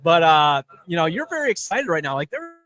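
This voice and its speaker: A man with a noticeably high voice hosts a sports talk show. His delivery is casual and conversational, like chatting with fellow panelists. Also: very animated, a little fast, a bit bright. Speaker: podcast host.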